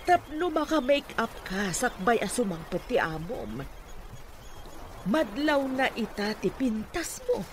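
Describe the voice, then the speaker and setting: A woman's voice: on the high side, very animated, slow. A woman playing a nagging, teasing mother figure, with an Ilocano accent, in radio comedy drama acting. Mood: teasing, bossy. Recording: faintly noisy radio drama recording.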